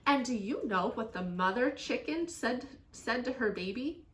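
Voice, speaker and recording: A woman voicing an excitable young female frog in a children's storybook, exclaiming and calling out. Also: on the high side, measured pace, roomy sound. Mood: amazed.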